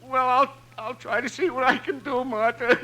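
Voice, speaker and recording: A man's voice: very high-pitched, steady tone, moderate pace, sharp tone. A man playing a scheming telegram messenger, deferential, hesitant and plaintive, in 1950s radio comedy. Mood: mournful, pleading. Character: scheming telegram messenger with a hard-luck sob act. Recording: noisy old 1950s radio broadcast.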